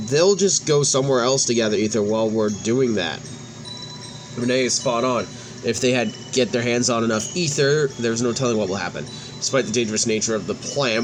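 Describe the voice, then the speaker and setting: Male gaming host, mid-pitched, reads story dialogue aloud with the odd stumble, music behind him. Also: rough recording. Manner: stumbles over and restarts words while reading. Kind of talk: reading game cutscene dialogue aloud in a let's play.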